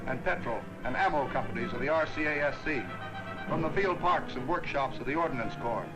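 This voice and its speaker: A man narrating a wartime newsreel in a brisk, stirring announcer's delivery. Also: medium pitch, low-quality recording. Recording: old newsreel soundtrack with loud music.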